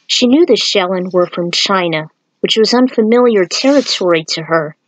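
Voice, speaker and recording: A woman's voice: mid-range voice, expressive, normal speed, no echo, low-quality recording. A woman reading her own fan fiction aloud as an amateur narrator and adding author's notes. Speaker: fan fiction writer and podfic reader.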